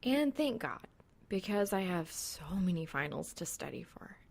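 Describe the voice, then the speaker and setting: A woman voicing a sweet, slightly shy young student with a light, gentle, expressive delivery in an audiobook. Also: on the low side, unhurried, vocal fry, heavily acted. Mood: matter-of-fact. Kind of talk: audiobook character acting.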